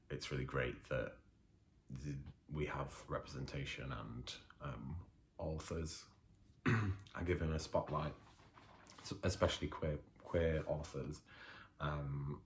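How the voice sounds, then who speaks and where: A man with a strikingly deep, low voice introduces himself and reads his poetry. He takes his time over every phrase and speaks at a slow, unhurried pace. His introduction is halting and filled with small hesitations. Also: a bit bright. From Leeds, England. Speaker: poet.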